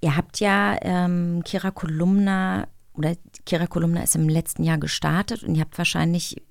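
A woman's voice: lowish voice, little pitch movement, moderate pace, gravelly, no room sound, clean studio sound. A woman co-hosting a podcast interview, asking her questions in long strings. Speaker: podcast co-host. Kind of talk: casual interview chat.

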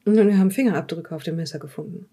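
A woman playing a police investigator in an audio drama, questioning a witness in a measured, polite and formal way. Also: fairly low pitch, mellow, slightly rough. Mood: probing, formal. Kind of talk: improvised in-character audio drama dialogue.

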